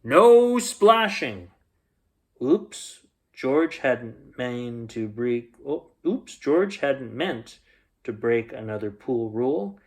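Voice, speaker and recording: A man reading a children's bedtime story aloud, expressively, with dramatic emphasis and pauses. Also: medium pitch, expressive, a little mellow.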